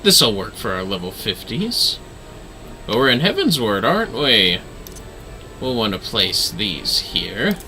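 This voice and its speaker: A man giving casual livestream game commentary in an animated way, often talking to himself. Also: lowish voice, unhurried. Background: music.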